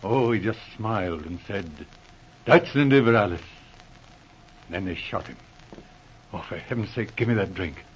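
An actor playing a shrewd, resolute military police inspector in a 1940s radio drama, with clipped, authoritative speech. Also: male voice, lowish voice, moderate pace, pauses often, slight background noise, lightly acted. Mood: weary, sickened. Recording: muffled old radio recording.

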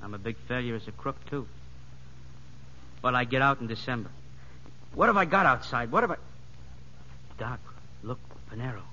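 Timid, bright-voiced pleading convict. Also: male voice, medium pitch, moderate pace, long pauses. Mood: pleading, despairing. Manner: meek, pleading. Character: timid, submissive, self-pitying convict embezzler. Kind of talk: 1950s radio drama acting. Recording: muffled, noisy vintage radio broadcast.

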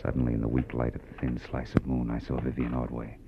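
An American actor playing a tough, brisk, wry private detective in 1940s radio noir style. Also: male voice, a bass voice, monotone, heavy background noise. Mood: tense, wry narration. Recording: muffled old radio recording with music.

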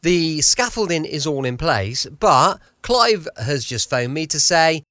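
Lively, close-miked English man hosting. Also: a little high, takes it slowly, dry recording. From United Kingdom. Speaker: podcast host. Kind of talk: chatty late-night podcast introduction. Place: close dry home recording.

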